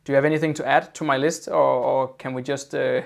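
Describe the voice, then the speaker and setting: A man's voice: medium pitch, little pitch movement, quick pace, vocal fry. A man hosting a casual interview over a video call, often repeating his opening words before talking on fluently. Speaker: podcast host.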